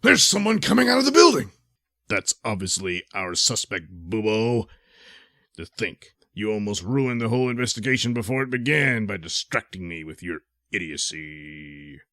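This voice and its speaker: A man's voice: lowish voice, very animated, moderate pace, pushed and bright, dry recording, studio quality, pitched below the performer's own voice. A man playing a pompous, short-tempered bungling superhero, bossy and foul-mouthed, in a comedic radio serial. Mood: exasperated, furious. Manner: self-important, blustering, easily exasperated.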